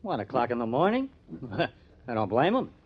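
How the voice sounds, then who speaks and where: An actor playing a cocky young newspaper artist with a sardonic, teasing manner in an old-time radio crime drama. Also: male voice, medium pitch, sing-song, moderate pace, husky. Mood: wry, amused. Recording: dull lo-fi old radio recording.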